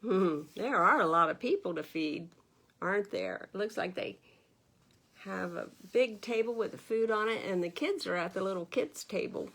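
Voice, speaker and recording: Woman, children's storytime reader, mid-pitched voice, expressive read-aloud, gentle questions to young listeners. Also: moderate pace.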